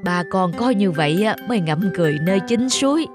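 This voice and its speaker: A woman's voice: mid-range voice, animated, a little fast, natural voice. A woman voicing an earnest elder giving counsel in a cartoon dub, with music underneath. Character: earnest elder advising a younger person.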